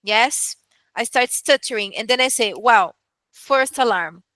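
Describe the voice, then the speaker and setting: High, bright-voiced woman from Brazil. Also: measured pace, no room sound. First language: Portuguese. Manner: earnest, repeats "you know" and "yes". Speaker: medical interpreter. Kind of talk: conversational interview answers. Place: online video call.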